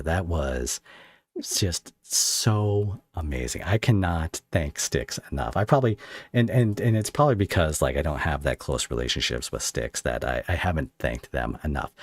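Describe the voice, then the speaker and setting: A man's voice: rumbling low, dry recording. A man hosting a casual livestream podcast interview, effusive and given to rambling as he talks with his guest.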